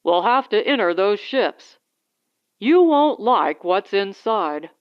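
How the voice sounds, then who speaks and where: A woman's voice: mid-range voice, very animated, slow pace, clear, resonant tone, lo-fi sound. A woman voicing a protective husband who captains a small spaceship, giving blunt instructions in an audiobook story. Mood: matter-of-fact.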